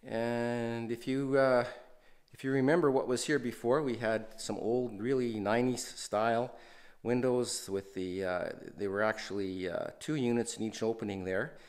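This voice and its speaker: A man's voice: mid-range voice, steady tone, moderate pace, darkish tone. A man hosting a renovation video, casually walking through an empty house and talking with many fillers and restarts. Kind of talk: casual walkthrough narration.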